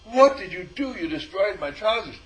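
A man's voice: high-pitched, moderate pace, a little hiss or hum, low-quality recording. A man giving an informal spiritual talk as a teacher, telling stories and acting out both sides of conversations with frequent chuckles. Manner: animated storyteller, acts out dialogue, chuckles often.

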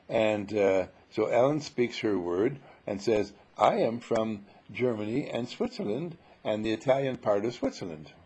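A man giving an informal spiritual sermon full of rhetorical questions, emphatic and dramatic, with a husky, gravelly voice. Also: medium pitch, darkish tone. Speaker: spiritual teacher.

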